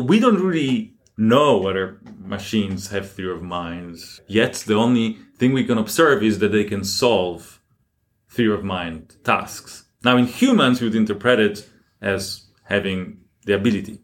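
A man speaking as an academic guest in an interview, explaining things deliberately and with emphasis. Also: lowish voice.

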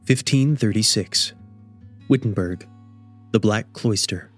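A man's voice: lowish voice, slow pace, faint music behind. A man narrating a scripted radio drama, setting scenes and describing the action.

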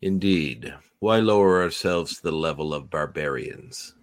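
A man playing a grave, calculating older villain who leads mutants, speaking in a measured, commanding way in a radio play. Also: very deep voice. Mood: cold, commanding. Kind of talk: radio theatre live read.

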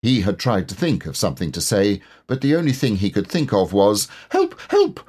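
A man narrates a children's story from a script. His voice sits fairly low and moves expressively, with a slight huskiness. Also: sing-song, brisk, darkish tone, husky. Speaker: storybook reader, voice actor.

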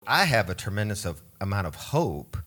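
A man who directs a summer camp, speaking in an earnest, measured way in a conversational interview. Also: on the low side, big pitch swings, pushed and bright, husky. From grew up in Switzerland and Oregon. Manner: measured, earnest, some hesitation.

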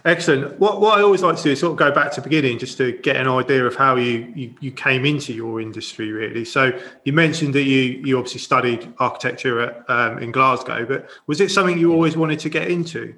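A man's voice: medium pitch, quick pace, few pauses, sharp tone. A man hosting an interview podcast, introducing his guest and asking questions in a friendly, chatty way. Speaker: podcast host.

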